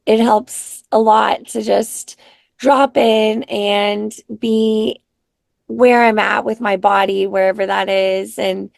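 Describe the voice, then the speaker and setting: A raspy-voiced woman, a singer-songwriter guest, talking in an unhurried, soft-spoken way with many pauses. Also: medium pitch, fairly even intonation, no room sound. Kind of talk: casual interview chat.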